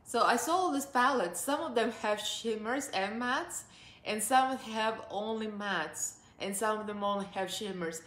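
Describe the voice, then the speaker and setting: A woman's voice: mid-range voice, unhurried, a bit bright. Chatty Russian-accented woman in an echoey room. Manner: chatty and upbeat, laughs often. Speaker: beauty vlogger. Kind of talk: casual makeup review. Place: living room, seated on a sofa.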